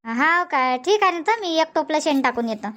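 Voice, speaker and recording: A high, bright-voiced village housewife, voiced by a woman in a cartoon comedy. She scolds and grumbles in fast village banter. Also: animated. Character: nagging village housewife Shanta, chatty and sharp-tongued.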